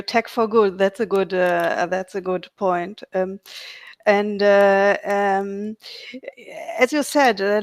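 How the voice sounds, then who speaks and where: A woman hosting a video call interview, speaking haltingly with many fillers. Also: medium pitch, no echo. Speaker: host.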